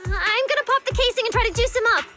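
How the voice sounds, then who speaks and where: A woman voice acting a perky, hands-on young mechanic in a video game, brisk, informal and excitable. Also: very high voice, soft background music. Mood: concerned, brisk.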